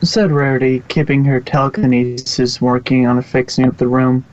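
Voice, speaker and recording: A man's voice: medium pitch, level tone, moderate pace, a little mellow. A man reading scripted story narration as an amateur narrator. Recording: dull, lo-fi home recording.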